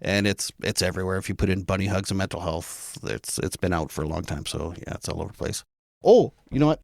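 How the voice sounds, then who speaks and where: A man's voice: deep voice, fast, gravelly, dry recording, clean studio sound. A man from Canada, a podcast guest, talking in a rambling, self-deprecating way with frequent restarts. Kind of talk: casual interview chat.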